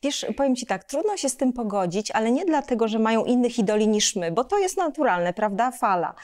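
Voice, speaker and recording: Higher-voiced woman interviewing reflectively. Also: sing-song, brisk, darkish tone. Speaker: host, interviewer. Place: studio set styled as a library lounge, indoors.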